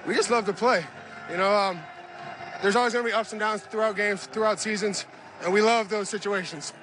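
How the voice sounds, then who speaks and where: A young male player giving a relaxed post-game interview on an outdoor field, into a handheld mic. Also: high voice, fairly quick, poor audio. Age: young adult. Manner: easygoing, cliché answers with fillers. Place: outdoor stadium field, cold night.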